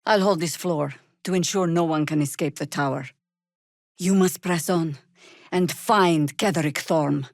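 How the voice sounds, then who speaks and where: A middle-aged fantasy warrior druid, stern and wry. She speaks slowly and deliberately in a slightly low register, with dry wit and natural authority. It is video game character work, with no room sound around the voice. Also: a little mellow, no echo. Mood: steady, sardonic.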